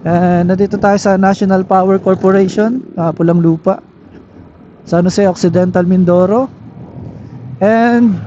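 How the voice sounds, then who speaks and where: A Filipino man with a high-pitched voice talks casually through a product review, explaining and checking in with the viewer. His helmet intercom sounds dull, with faint vehicle noise behind him as he rides. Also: fairly even intonation, unhurried, pauses often, dark tone. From Philippines. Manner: explanatory, with frequent tag questions. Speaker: motovlogger reviewing gear. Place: on a moving motorcycle, inside a helmet. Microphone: helmet intercom microphone.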